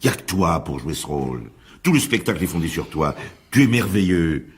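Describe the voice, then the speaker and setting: Anxious theatre boss, radio drama, very low pitch, swooping intonation, repetitive, stammering, self-reproaching. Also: male voice, sing-song, a little fast. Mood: pleading, coaxing. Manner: pleading, stammering, self-reproaching, repeats himself. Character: Georges, anxious, pleading theatre show boss, easily overwrought. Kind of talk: French radio drama acting.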